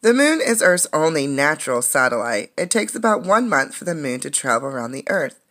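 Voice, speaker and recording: A woman's voice: low voice, big pitch swings, a bit bright. A woman reading a children's science book aloud like a teacher, explaining things as she goes. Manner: explanatory, pausing to comment and ask questions.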